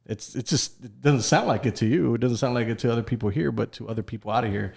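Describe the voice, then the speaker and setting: A man's voice: mid-range voice, fast talker, creaky, a little mellow. A man from Texas with a Texan accent, hosting a podcast and speaking in an emphatic, confident, opinionated way. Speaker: podcast host. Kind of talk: casual podcast conversation.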